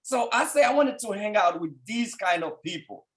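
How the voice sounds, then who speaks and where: Man, thirties, thick Haitian accent, fervent motivational speaker, climbs to shouts. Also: high-pitched, lively intonation, normal speed. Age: 30s. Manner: fervent, rising to shouts at peaks. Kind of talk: motivational speech.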